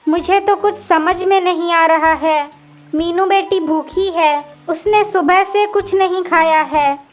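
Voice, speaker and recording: Woman voicing a high-pitched, fretful mother bird. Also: slow. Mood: worried, helpless. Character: worried mother bird, anxious and caring. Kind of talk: children's cartoon story acting.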